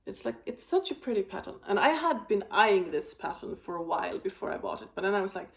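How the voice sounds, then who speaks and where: A woman hosting a knitting video, chatting casually about her projects, with a slightly raspy voice and a rambling, filler-heavy way of talking. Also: medium pitch, big pitch swings, quick pace. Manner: rambling, many fillers and restarts. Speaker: knitting podcast host.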